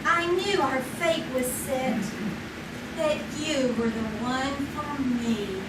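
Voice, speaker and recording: A woman's voice: high-pitched, takes it slowly. An actress playing Peggy, a sweet, romantic young woman who coaxes her boyfriend, in a live stage radio drama. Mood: dreamy. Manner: sweet, dreamy, coaxing. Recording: echoey theater sound from a distant mic, loud noise.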